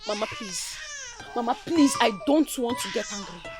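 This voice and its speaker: A woman's voice: a little high, very animated, moderate pace, soft background music. An actress playing a resentful young mother who answers sharply and dismissively. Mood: defiant. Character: bitter defiant young Nigerian mother.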